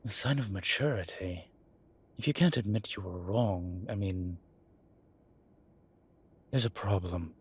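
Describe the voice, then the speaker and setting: A man playing an ancient demon professor who is gruff but contrite and caring, speaking intimately to one listener in a halting, measured, husky voice. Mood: wry, philosophical. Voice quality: husky, breathy low voice. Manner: slow, halting, measured delivery with sighs.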